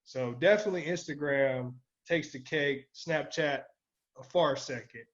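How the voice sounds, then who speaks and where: Higher-voiced man, slangy podcast co-host. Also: a little slow, highs cut off. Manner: slangy, profane, blunt. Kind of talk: casual chat, podcast discussion.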